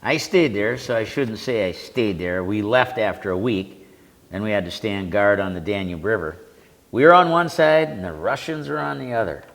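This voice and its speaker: An older veteran bluntly recounting war memories, with salty language. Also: male voice, fairly low pitch, expressive, normal speed, creaky, fairly bright tone. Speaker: veteran panelist. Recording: reverberant community TV studio.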